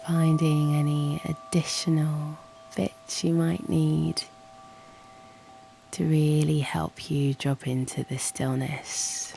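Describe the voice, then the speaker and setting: A woman leads a guided meditation at an extremely slow pace, in a low, soft and breathy voice that often nears a whisper. There is noticeable creak in her tone, and her manner is soothing and gently encouraging. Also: level tone, rough recording. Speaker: yoga nidra guide and channel host. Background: soft music.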